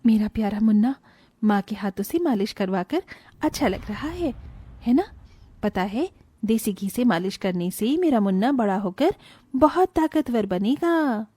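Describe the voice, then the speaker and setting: A woman playing a kind, nurturing young mother and aunt in an animated family story, speaking gently and soothingly. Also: mid-range voice, measured pace, breathy edge, no echo. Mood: tender, cooing. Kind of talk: animated Hindi moral story dialogue.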